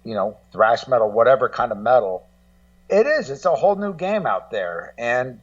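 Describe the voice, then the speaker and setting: A middle-aged American man talking casually as a guest co-host in a radio discussion, in a rambling, halting way full of "you know". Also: mid-range voice, animated, recorded from a distance, dull audio. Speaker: guest co-host and rock music journalist.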